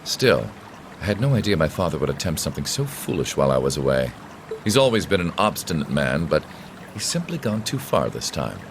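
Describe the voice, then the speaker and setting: A man playing a composed, authoritative young nobleman in a video game, courteous and formal with quiet menace. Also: very deep voice, big pitch swings, background noise, heavily acted. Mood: grave. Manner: polished, measured formal speech. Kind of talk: dramatic video game voice acting.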